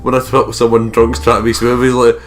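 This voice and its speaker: A man's voice: mid-range voice, completely flat delivery, quick pace. A young man with a Scottish accent reading game text aloud and adding exuberant, sweary, joking commentary. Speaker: let's play co-host. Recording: music behind the voice.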